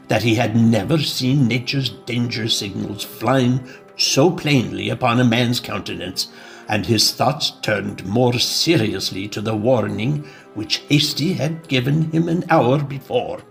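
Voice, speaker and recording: A man's voice: mid-range voice, unhurried, gravelly, faint music behind. A man reading scripted, dramatic story narration.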